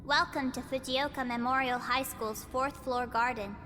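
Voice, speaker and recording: Lady voicing a calm formal guide, speaks bright, echoey hall with music behind. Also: high voice, slight background noise. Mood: explaining. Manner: formal, measured explanations. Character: calm, upbeat female guide explaining the school. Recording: long reverb with music behind.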